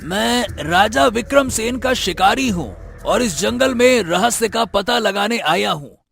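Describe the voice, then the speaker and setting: A man playing a fearless, boastful royal hunter in a cartoon story, declaiming boldly in a strained, creaky voice. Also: high voice, expressive, a little slow, a bit bright, soft background music, exaggerated voice. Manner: bold, declamatory.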